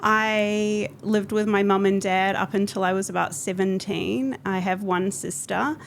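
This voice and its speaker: A woman's voice: medium pitch, steady tone, unhurried, gravelly, slight background noise. A woman with a New Zealand accent telling her personal story reflectively as a podcast guest. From Auckland, New Zealand. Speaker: podcast guest, business coach.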